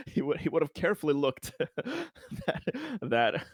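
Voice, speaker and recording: A man playing a tabletop roleplaying game, chatting casually in and out of character with a halting, word-repeating delivery. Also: a little high, huge pitch swings, dry recording, studio quality. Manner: halting, stammering, repeating words.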